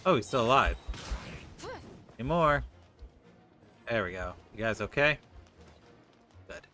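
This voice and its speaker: A man's voice: mid-range voice, animated, measured pace, bright, forceful tone, faint music behind. A man streaming a video game, casually commenting and reading dialogue aloud.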